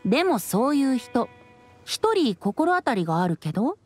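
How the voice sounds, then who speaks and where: A man voicing a playful, poetic bard boy in an anime game, with a light androgynous voice and a smooth, teasing manner. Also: very high-pitched, very animated, moderate pace, faint music behind. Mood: lyrical. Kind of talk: Japanese anime game voice acting.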